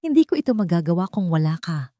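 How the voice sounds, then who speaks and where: A woman's voice: fairly low pitch, very animated, fast talker, dark tone, no room sound, clean studio sound. A Filipino woman voicing a sincere, grateful and resilient young woman in an audiobook drama.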